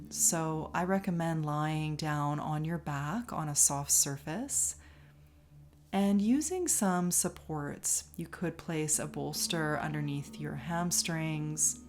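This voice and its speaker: A woman leading a guided relaxation as a yoga teacher, calm and soothing, leaving long pauses between phrases. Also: low voice. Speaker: yoga nidra instructor. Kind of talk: guided meditation, relaxation instruction. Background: music behind the voice.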